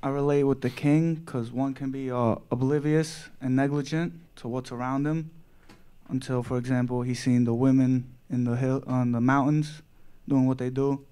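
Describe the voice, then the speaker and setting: Man, mellow timbre, flat intonation, smooth, reflective discussion comment. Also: medium pitch, normal speed. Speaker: discussion participant.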